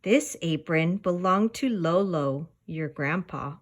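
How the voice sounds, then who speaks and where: A woman voicing a gentle, patient mother in a children's storybook, buttery and encouraging as she explains things to her young daughter. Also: on the low side, expressive, slow pace. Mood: fond, gentle.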